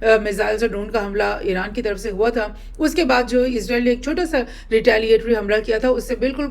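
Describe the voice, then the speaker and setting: High-voiced woman explaining the news. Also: steady tone. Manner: explanatory, halting, often repeats and rephrases points. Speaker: host presenting news analysis. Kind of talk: informal news commentary, explaining to viewers.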